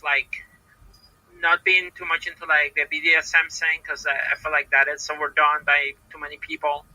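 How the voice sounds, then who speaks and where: Halting Puerto Rican woman, bright tense voice. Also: sits low, no echo, low-quality recording. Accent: Puerto Rican Spanish. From Puerto Rico. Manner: hesitant, halting, many repeated words and fillers. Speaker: professional wrestler, podcast guest. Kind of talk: casual interview answers.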